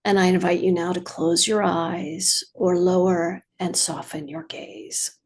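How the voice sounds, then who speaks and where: A woman meditation teacher leading a guided practice in a measured, soothing way, with long pauses and a slightly husky voice. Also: fairly low pitch, little pitch movement.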